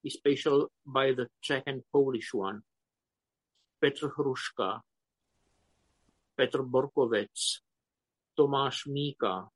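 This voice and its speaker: A man in his fifties with a Romanian accent speaks at an unhurried, measured pace, stopping often mid-phrase to find his words. His voice sits at a middle pitch. The sound is dry and close, with no room behind him. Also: no room sound, poor audio. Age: 50s. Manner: slow, halting, searching for words. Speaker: poet guest. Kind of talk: interview answers.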